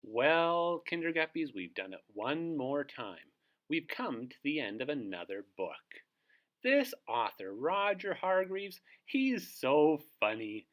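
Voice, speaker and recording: A man's voice: fairly high pitch, sing-song, slow, a bit bright. A man reading a story aloud for young children as a storybook host. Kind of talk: animated children's read-aloud.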